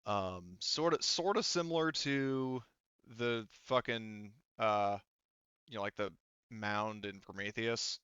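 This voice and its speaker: A man running a tabletop game as game master, narrating casually in a halting, rambling way full of filler phrases. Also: lowish voice, a bit bright, clean tone, dry recording, muffled recording. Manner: halting, rambling, frequent "like" and "you know"; casual swearing.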